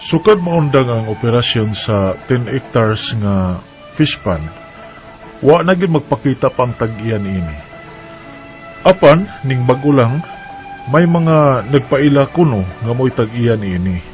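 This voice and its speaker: A male actor as an earnest Cebuano Filipino son in a radio drama, concerned for his family. His medium-pitched voice plays over a faint bed of music. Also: expressive, measured pace, pauses often, lightly acted. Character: earnest adult son working abroad, worried for father. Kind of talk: radio drama, reading a letter aloud.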